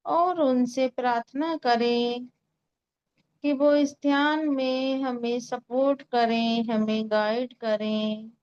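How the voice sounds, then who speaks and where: A woman leading a guided meditation in a calm, soothing way, giving unhurried instructions with long pauses. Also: fairly high pitch, smooth, dull audio. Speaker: meditation guide and Reiki healer.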